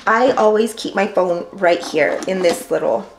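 Raspy-voiced woman vlogger, a bit sick. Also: mid-range voice, unhurried, background noise. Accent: American. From Texas. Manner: chatty with many ums, gushing about her bags. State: raspy from illness. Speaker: handbag vlogger. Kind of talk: casual collection show-and-tell. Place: small indoor room against a plain wall.

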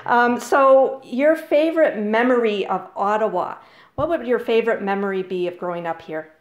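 A woman's voice: on the high side, normal speed. Bubbly, eager woman interviewer. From Canada. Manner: bubbly, eager, laughs often. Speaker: blogger interviewer. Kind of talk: casual interview.

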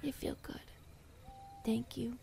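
A woman voicing a shy, affectionate, feral skinwalker girlfriend in an ASMR roleplay, soft and often whispering in halting broken English. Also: a little high, little pitch movement, vocal fry, breathy edge, heavily acted. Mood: curious, grateful.

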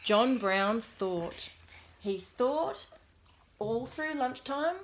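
Woman, expressive parent reading a storybook to a small child, unhurried, some background noise. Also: fairly high pitch. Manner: expressive, deliberate, pausing to prompt the child. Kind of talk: bedtime storybook reading with whispered asides. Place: small bedroom at home. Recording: roomy echo, background noise, clicks.

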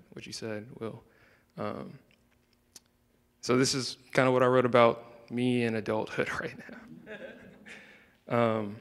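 A young man, mid-pitched and soft-spoken, reads his own spoken word poems indoors. He holds a handheld microphone, and his voice trails off into a long hall reverb. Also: creaky. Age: young adult. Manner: soft-spoken, hesitant between poems, with filler ums. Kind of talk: spoken word poetry reading. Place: indoor room, standing by a wall.

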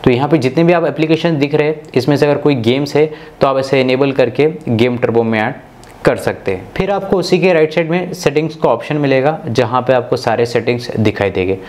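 Male, medium pitch; scripted tutorial voice, instructional, walks through each step. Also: a little fast, darkish tone. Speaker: tech video host.

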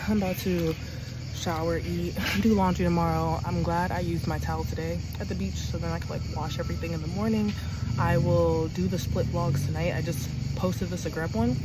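A young woman, chatting casually to her phone as a travel vlogger, rambling and laughing between words. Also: lowish voice. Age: young adult. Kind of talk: casual vlog chat to camera. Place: outdoors on city streets and a bench, also indoors in a hostel. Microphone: phone held at arm's length. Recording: outdoor street noise behind.

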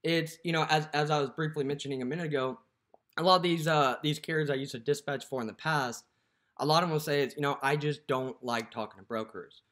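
A man's voice: on the high side, fast, a bit bright. A young man, a dispatcher, talking casually to the camera through an app walkthrough, with lots of "you know" fillers, recorded close up in a dim indoor room.

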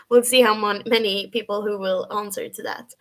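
A young woman from Sweden with a Swedish accent and a high, feminine voice, chatting casually with viewers on a webcam livestream from her bedroom, full of fillers and restarts. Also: fairly even intonation, moderate pace, a bit bright. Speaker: livestream host. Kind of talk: casual live chat answering viewers. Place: bedroom at home, indoors.

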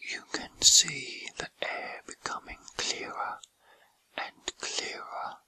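A man whispering a guided meditation in a soothing, gentle, breathy ASMR style with unhurried pauses.

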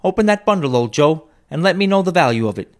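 A man reading a story aloud, voicing a bold, scornful charwoman in a shrill falsetto. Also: expressive, quick pace, lightly acted.

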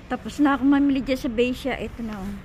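A woman's voice: sits high, fast talker. A woman vlogging casually outdoors, chatting about her errands as she walks. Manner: chatty, narrating her errands while walking. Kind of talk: casual walking vlog. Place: outdoors on a city street. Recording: lo-fi with loud street noise. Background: traffic and street noise.

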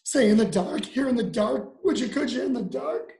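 Man voicing pushy eager little creature, higher-pitched. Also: expressive, moderate pace, gravelly, darkish tone, reverberant, heavily acted. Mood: excited pestering. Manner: eager, sing-song coaxing questions.

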